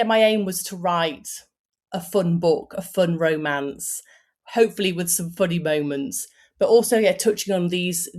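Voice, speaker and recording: A woman in her forties, an author, talking about her book in a casual interview, chatty with lots of fillers and restarts. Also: on the low side. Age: 40s.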